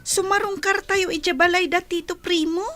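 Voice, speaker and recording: A woman's voice: extremely high pitch, fairly even intonation, moderate pace, sharp tone, soft background music. A woman playing an eager young little sister in a village radio drama. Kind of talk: radio drama acting in Ilocano.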